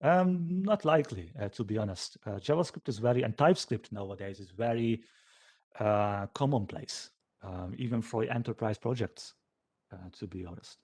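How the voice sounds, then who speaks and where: Man, slightly low pitch, dark relaxed tone, hesitant and halting, informal conference Q&A. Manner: hesitant, halting, thinking aloud. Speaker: software developer giving a conference talk. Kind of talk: informal question-and-answer session after a talk.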